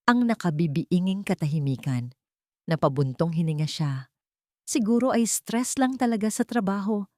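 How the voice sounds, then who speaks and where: A woman's voice: on the low side, mellow, dry recording, clean studio sound. A woman with a Filipino accent narrating a scripted romantic drama as a storyteller.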